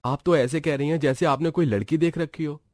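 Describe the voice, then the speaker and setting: A man voicing a caring, earnest young husband from a wealthy family in a cartoon story, gentle and attentive. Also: on the high side, brisk, no room sound, studio quality, natural voice. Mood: curious.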